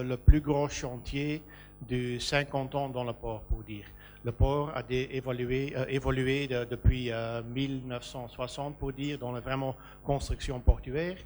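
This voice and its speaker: A man from Belgium speaking on a business panel about a port, hesitant with many fillers and restarts. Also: medium pitch. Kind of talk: panel discussion.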